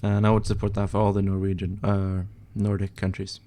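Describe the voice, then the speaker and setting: A man's voice: fairly low pitch, monotone, a little fast, dark tone. A young man from Sweden hosting a casual livestream, reading out chat questions and answering in an offhand, mumbling way, swearing under his breath as he works. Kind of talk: casual livestream chat while editing images.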